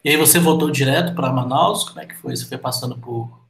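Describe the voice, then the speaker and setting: A man's voice: mid-range voice, fast talker, creaky, a bit bright. A man hosting a casual interview over a call, jokey and easily amused. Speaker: interview host. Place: remote call. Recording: roomy with faint background noise.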